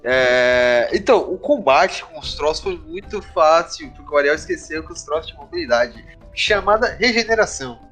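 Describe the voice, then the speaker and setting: A man's voice: fairly high pitch, expressive, normal speed, few pauses, fairly bright tone, faint music behind. A Brazilian man chatting casually with friends in a joking, informal way as a podcast co-host. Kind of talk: casual chat among friends.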